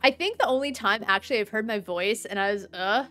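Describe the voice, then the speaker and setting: A woman in her thirties streaming a game, with a dry, sometimes monotone-sounding voice, chatting and rambling. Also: medium pitch, fairly quick. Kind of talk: casual gameplay commentary. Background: faint game music.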